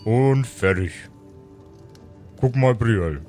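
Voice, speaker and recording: A man playing a devoted, nervous machete-wielding fellow who mutters haltingly in an improvised role-play audio story. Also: medium pitch, animated, a little mellow. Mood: self-assured. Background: music under the voice.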